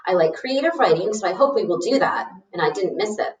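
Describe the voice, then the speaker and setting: A woman's voice: mid-range voice, lively intonation, brisk, lightly acted. A woman reading a storybook letter as a self-assured, chatty seventh-grade boy who talks frankly and boasts a little. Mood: boastful, chatty. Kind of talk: storybook read-aloud of a letter. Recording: roomy, echoey, distant mic.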